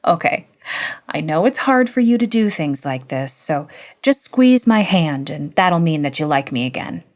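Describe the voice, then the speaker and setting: A woman's voice: sits low, sing-song, moderate pace, a little mellow. A woman doing a sarcastic cocky young man. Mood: coaxing.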